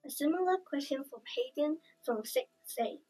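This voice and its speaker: A young student interviewer reading questions in a polite, scripted manner. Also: female voice, high voice, expressive, moderate pace, mellow, faint music behind, low-quality recording.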